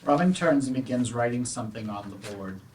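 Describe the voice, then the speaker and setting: A man's voice: mid-range voice, animated, fairly quick, low-quality recording. A man reading scripted stage directions as narrator at a live play reading. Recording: faint noise, live room ambience.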